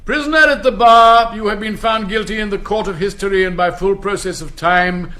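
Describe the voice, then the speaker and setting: A pompous English judge in a satirical radio play. His voice sits a little high for a man. He is peremptory and scolding, handing down his words with grand self-importance. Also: brisk, sharp tone. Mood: stern, declamatory. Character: pompous, overbearing male judge in a satirical court of history.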